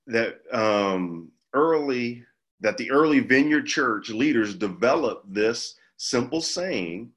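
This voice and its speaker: A man speaking as a pastor, giving an informal Bible teaching in a measured, halting way with pauses mid-phrase. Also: medium pitch, a bit bright. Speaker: pastor leading a church group.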